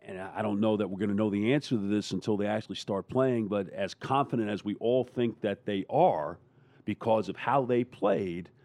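A man in a casual sports roundtable, talking as a panelist in a halting, rambling way. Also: lowish voice, a little mellow, no room sound.

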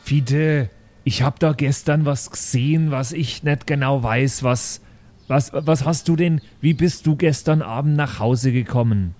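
A man's voice: medium pitch, a little slow, darkish tone, soft background music, natural voice. A man playing a flustered, suspicious schoolteacher in an improvised audio role-play, hesitant and colloquial. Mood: puzzled. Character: earnest, flustered, suspicious male schoolteacher amateur sleuth.

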